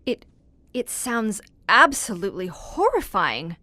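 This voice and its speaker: An earnest woman drawing out a dark tale in an audio horror drama. Her voice sits at an average pitch. She sounds questioning, absorbed and a little appalled. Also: big pitch swings, takes it slowly, dry recording, heavily acted. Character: earnest, inquisitive female listener, appalled yet fascinated.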